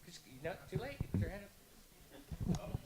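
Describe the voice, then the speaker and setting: A man running a meeting with a group, upbeat and joking as he calls on people. His voice sits a little high. He talks into a handheld mic in a meeting room. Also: big pitch swings, moderate pace, mellow. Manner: upbeat, joking, repeats "all righty". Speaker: meeting host. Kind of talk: casual emcee chat.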